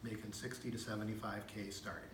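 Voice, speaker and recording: A legislator in his fifties speaks to a town hall in a folksy way and tells stories from site visits. His voice sits on the low side. The room gives it a roomy echo, with a faint hum of noise. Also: little pitch movement, fast talker. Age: 50s. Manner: folksy, anecdotal, chuckles. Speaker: state legislator.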